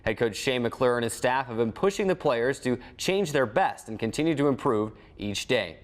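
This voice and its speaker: A man's voice: mid-range voice, animated, moderate pace. An American man hosting a sports show, reading scripted previews with an announcer-like delivery. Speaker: sports show host and team broadcaster.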